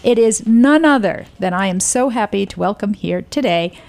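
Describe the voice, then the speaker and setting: A middle-aged woman hosting a radio interview show from a studio, enthusiastic and quick to laugh. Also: medium pitch, lively intonation, vocal fry, a little mellow. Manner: enthusiastic, laughs often, breathless asides.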